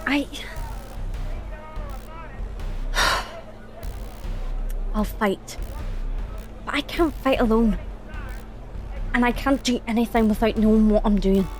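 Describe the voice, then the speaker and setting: A woman playing a cautious, reluctant space pilot in an audio drama, with an earnest, measured delivery. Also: on the high side, faint music behind. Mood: reluctant resolve.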